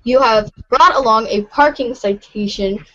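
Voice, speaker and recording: Female narrator, high voice, reading a script aloud on a dull, lo-fi recording. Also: measured pace, sharp tone. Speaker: narrator in amateur readers' theatre. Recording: dull, lo-fi audio.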